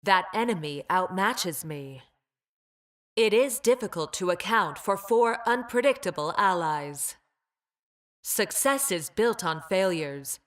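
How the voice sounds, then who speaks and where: A woman's voice: mid-range voice, a bit bright. A woman playing a clinical, detached female android scientist in a video game, speaking with formal precision and ruthless logic. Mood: calm, calculating. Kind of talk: video game character voice lines.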